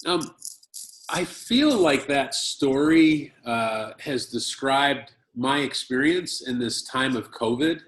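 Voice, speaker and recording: An American man tells a story from his childhood, slow and measured. He speaks in short bursts with pauses for an interpreter. The room around him rings with echo. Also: medium pitch, lively intonation, fairly bright tone. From Chicago, United States. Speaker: conference speaker. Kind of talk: personal story in a talk. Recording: roomy echo.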